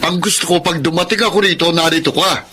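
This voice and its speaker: An actor playing a selfish, judgmental, demanding young husband in a Tagalog radio melodrama. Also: male voice, fairly high pitch, steady tone, quick pace, bright, forceful tone. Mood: irritated, demanding.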